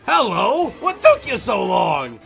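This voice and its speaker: A man's voice: sits high, wildly swooping pitch, takes it slowly, gravelly, over loud music, pitched above the performer's own voice, heavily acted. A man voicing a gloating, possessive walking corpse from a horror comic, theatrical and taunting. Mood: mocking.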